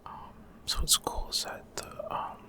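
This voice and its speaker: Male ASMR gaming host, whispered throughout, soft and unvoiced, halting commentary with frequent mid-sentence pauses.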